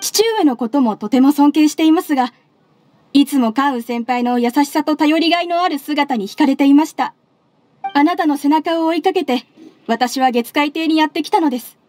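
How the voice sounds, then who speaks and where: Casting: actress for earnest young working-mother secretary, anime game drama, high polite voice, measured and respectful. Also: no echo, close to an everyday voice. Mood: earnest, reflective. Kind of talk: Japanese anime game voice acting. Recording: studio voice over game music. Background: soft background music.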